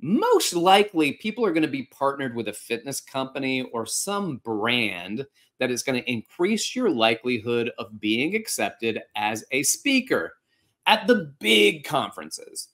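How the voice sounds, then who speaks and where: A man in his forties hosting a fitness podcast from a home room, giving casual practical advice on a video-call style setup with lively gestures. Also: medium pitch, unhurried. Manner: animated, gesturing, with frequent restarts. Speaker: podcast host and fitness instructor. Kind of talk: casual instructional talk. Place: home room with a couch and art.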